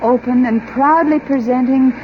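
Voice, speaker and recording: High-pitched, yearning housewife played by a woman, old radio. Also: fairly even intonation, slight background noise, close to an everyday voice. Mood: yearning, intense. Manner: intense, theatrical, with deliberate dramatic pauses. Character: yearning, long-deprived housewife fixed on a bracelet. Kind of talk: 1940s radio acting, dramatic first-person narration. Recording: dull old radio recording with music.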